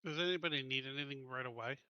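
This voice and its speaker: Bright-voiced male player, halting speech. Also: mid-range voice, dry recording, muffled recording. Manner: halting, casual, trailing off. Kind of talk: casual chat at a game table.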